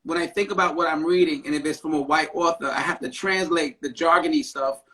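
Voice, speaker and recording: A man with a fairly high voice hosts a casual live-stream conversation. He talks in an animated, emphatic way and often closes his points with a quick "right?". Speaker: podcast host; therapist.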